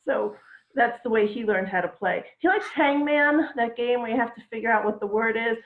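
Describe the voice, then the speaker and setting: A woman's voice: fairly high pitch, moderate pace. A middle-aged woman performing a stand-up comedy routine from home, delivering dry, self-deprecating one-liners. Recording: echoey, phone-like home recording.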